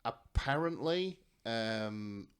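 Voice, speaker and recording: A man's voice: mid-range voice, lively intonation, very slow, clean tone. A man co-hosting a podcast in casual fandom chat, often hesitating and thinking aloud. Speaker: podcast co-host.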